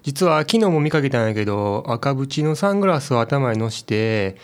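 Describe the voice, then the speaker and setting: An actor with a Kansai accent playing a casual, blunt convenience store clerk in a radio drama. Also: male voice, mid-range voice, animated, normal speed, lightly acted. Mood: chatty.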